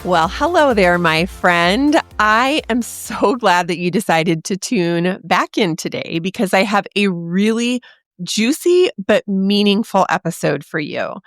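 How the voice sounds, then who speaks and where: A woman in her forties hosting a podcast, talking straight to the listener in a chatty, encouraging way, her voice a little raspy from a sore throat. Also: mid-range voice, animated, measured pace, no echo, studio quality, faint music behind. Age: 40s. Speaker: podcast host. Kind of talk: casual podcast monologue.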